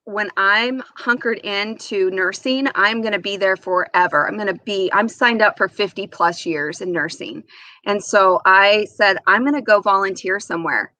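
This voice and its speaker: Woman, forties, animated interview guest. Bright, forward voice, casual storyteller, dry close sound. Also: mid-range voice, dry recording. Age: 40s. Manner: animated, acts out remembered dialogue.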